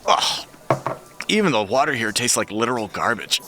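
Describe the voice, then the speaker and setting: A man's voice: mid-range voice, sing-song, moderate pace, over music, lightly acted. An actor playing an anxious, wry young American guy in an audio drama, incredulous and easily exasperated. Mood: wry, subdued.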